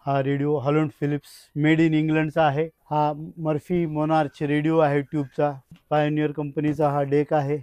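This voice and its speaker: A man's voice: fairly high pitch, little pitch movement, moderate pace, darkish tone, dull audio. A man talks informally as a collector, explaining his items in short phrases. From India. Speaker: radio collector being interviewed.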